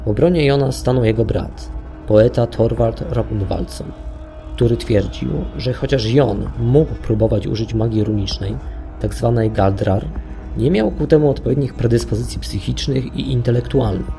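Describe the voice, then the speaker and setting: A male narrator with a soft-edged, mellow voice tells a scripted history in a measured, literary way. His pitch sits in a middle male range, and music plays behind him. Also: gravelly. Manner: measured, literary storytelling delivery. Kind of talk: scripted storytelling narration of an audio documentary. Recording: narration over background music.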